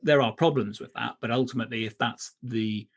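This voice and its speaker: A man's voice: mid-range voice, a little fast. A middle-aged man, a writer being interviewed, talking casually about his craft and often circling back to rephrase.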